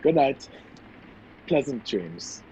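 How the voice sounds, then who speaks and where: A man playing a polite, earnest gentleman hero in an old-time radio play. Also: mid-range voice, sing-song, takes it slowly. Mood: polite, easygoing. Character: gentlemanly male hero caught in a spy intrigue. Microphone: video call. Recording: dull, noisy video call audio.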